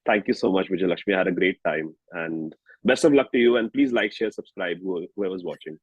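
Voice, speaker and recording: A man with an Indian accent chatting casually as an interview guest, halting and candid as he searches for words. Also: low-pitched, slightly rough, dry recording, narrow, tinny audio. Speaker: singer-songwriter guest.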